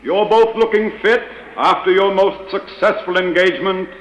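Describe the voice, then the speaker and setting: A man playing a formal, commanding spymaster who gives orders in measured phrases, in a 1940s radio drama. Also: sits high, a little hiss or hum, lightly acted, poor audio. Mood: grave, formal. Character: formal, authoritative male chief of a secret agency.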